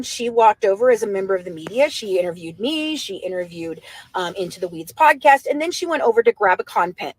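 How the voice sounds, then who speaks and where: High-pitched woman giving an emphatic video update. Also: no room sound, low-quality recording. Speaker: online show host. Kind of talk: livestream update to followers. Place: outdoors by a courthouse.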